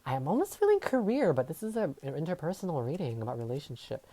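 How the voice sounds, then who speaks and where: A woman's voice: sits low, big pitch swings, fast talker, mellow. A woman whose first language is Tagalog, giving a rambling tarot reading full of fillers and trailing phrases. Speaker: tarot reader. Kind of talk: casual tarot reading monologue. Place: home filming setup. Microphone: overhead camera setup.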